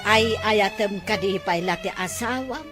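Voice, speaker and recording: A woman's voice: medium pitch, a little slow, over music, lightly acted. A woman playing a concerned, talkative middle-aged Filipino mother who chides and counsels in a family drama. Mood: gently probing. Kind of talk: naturalistic drama dialogue.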